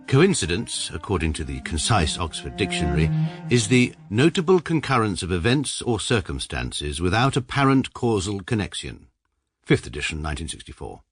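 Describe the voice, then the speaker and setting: An actor with a British accent playing a stiff, pedantic upper-class lawyer, narrating and speaking formally and precisely. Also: male voice, sits low. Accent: British English. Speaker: narrator and lead. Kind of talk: radio comedy drama.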